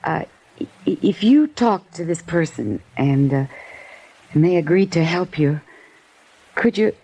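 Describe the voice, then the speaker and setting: A woman's voice: deep voice, lively intonation, a little slow, warm tone, slightly rough, full character voice, rough recording. An actress playing a nervous young waitress in an old radio drama, stammering and hesitant as she hides what she knows. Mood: pleading. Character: nervous young woman diner waitress hiding secrets. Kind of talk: 1950s radio drama acting.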